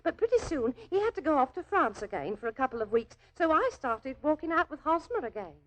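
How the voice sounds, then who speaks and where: A woman's voice: high-pitched, very animated, brisk, fairly bright tone, slight background noise, poor audio. An actress playing a naive, earnest, lovelorn young working woman in a 1940s radio drama, chatty and deferential, easily flustered. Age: young adult. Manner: deferential, chatty, flustered, with homely ungrammatical turns of phrase.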